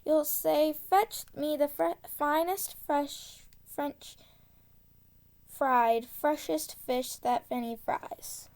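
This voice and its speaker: Woman, high-pitched voice, unhurried pace, reading rhymes aloud for children, deliberate articulation. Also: steady tone, gravelly, a little mellow. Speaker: storybook reader. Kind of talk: read-aloud of a children's tongue-twister book.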